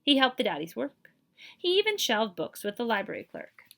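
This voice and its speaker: A woman reading a children's picture book aloud as a librarian, with expressive, emphatic delivery and dramatic pauses. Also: on the high side, gravelly.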